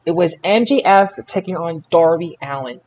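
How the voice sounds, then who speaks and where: High-voiced man hosting a casual podcast. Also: expressive, a little mellow, rough recording. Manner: halting, repeats words while recalling details. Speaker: podcast host. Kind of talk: casual wrestling results recap.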